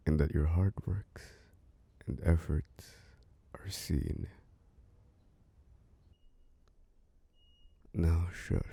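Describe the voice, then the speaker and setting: Man acting as tender boyfriend, very low gravelly voice, unhurried, coaxing, reassuring, intimate sleep-aid ASMR. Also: warm tone, no room sound, heavily acted. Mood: tender, drowsy. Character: doting, protective boyfriend soothing an overworked partner to sleep.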